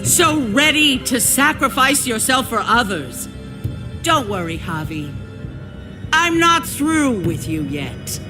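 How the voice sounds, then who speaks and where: An actress playing a cold, ruthless survivor town leader in a video game, theatrical, menacing and mock-sincere. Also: female voice, on the high side, very animated, takes it slowly, over music. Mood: stern, vindictive. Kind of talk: dramatic video game acting.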